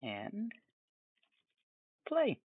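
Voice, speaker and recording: A man giving a casual, off-the-cuff software tutorial, rambling along with chatty, self-amused asides. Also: high-pitched, wildly swooping pitch, extremely slow, breathy edge, dry recording, narrow, tinny audio. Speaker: tutorial presenter.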